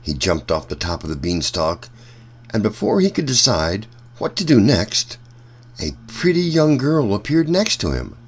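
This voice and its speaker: A man narrates a children's storybook in a low voice with lively, swinging intonation. He reads slowly and expressively, as a storytime host speaking to young listeners. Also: slightly rough. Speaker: storytime reader.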